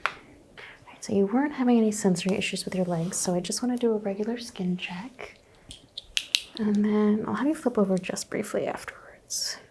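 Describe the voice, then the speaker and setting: Young woman, gentle whispered doctor roleplay, low-energy fry, mellow tone. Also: brisk, slight background noise. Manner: soft whispering, gentle questions. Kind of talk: ASMR medical exam roleplay.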